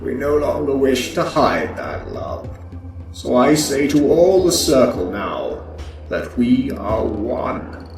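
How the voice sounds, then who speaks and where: Guy playing ghostly grand guardian in fantasy audio drama, slow declaiming, super echoey, far off. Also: medium pitch, very animated, a little mellow. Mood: solemn proclamation. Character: grand, solemn ancient guardian in love, a ghostly vision. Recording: distant, heavily echoing, music underneath.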